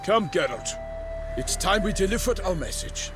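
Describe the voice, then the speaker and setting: An actor playing an eager, gallant knight errant in a fantasy game, theatrical and chivalrous. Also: male voice, sits high, lively intonation, moderate pace, music in the background. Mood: earnest, courtly. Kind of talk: cinematic fantasy game dialogue.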